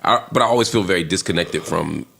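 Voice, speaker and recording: A man's voice: low voice, quick pace, vocal fry, a bit bright. A man in casual chat, talking reflectively and rambling.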